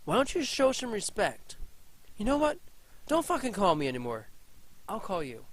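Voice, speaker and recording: A man's voice: high-pitched, huge pitch swings, normal speed, full character voice. A man voicing a frightened young woman who solves riddles, stammering and shaky. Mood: angry. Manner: shaky, stammering, then matter-of-fact.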